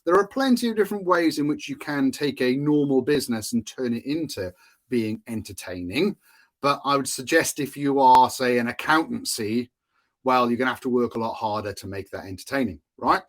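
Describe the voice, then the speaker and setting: A Welsh man talks through a solo podcast episode in a loose, chatty way. He restarts sentences, drops in fillers and chuckles at his own asides. His voice sits at an ordinary middle pitch for a man. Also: lively intonation, fairly quick. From Wales. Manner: chatty, self-amused, laughs often, many fillers and restarts. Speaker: podcast host, marketing consultant.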